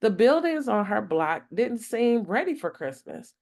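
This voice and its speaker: A woman reading a children's picture book aloud as a storytime host, expressive and deliberate in her phrasing. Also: on the high side, vocal fry.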